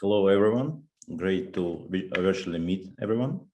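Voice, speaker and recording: Very deep, dark-voiced man, halting. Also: lively intonation, slight background noise. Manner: halting, many fillers. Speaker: alumni panelist. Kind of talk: video call panel introduction. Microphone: video call.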